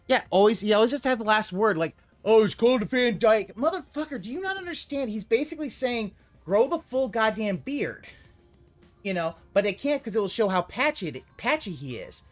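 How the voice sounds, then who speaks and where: A streamer talking over a video they are reacting to, their voice sitting at an ordinary pitch. They rant and swear freely and at times burst into loud yelling. Faint music plays under the talk. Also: lively intonation, a little fast. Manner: ranting, profane, swings into loud yelling. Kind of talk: live reaction commentary.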